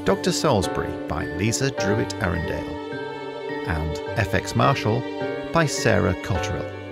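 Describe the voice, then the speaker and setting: Credits announcer: man, scripted read, gravelly and lively in intonation, prominent music behind. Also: lowish voice. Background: loud new-age music.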